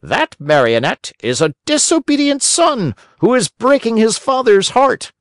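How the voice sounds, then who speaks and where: A man's voice: extremely high pitch, very animated, a little slow, no room sound, studio quality, voice pushed up, exaggerated voice. A man voicing a stern, lecturing cricket doctor. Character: stern pompous old male cricket doctor.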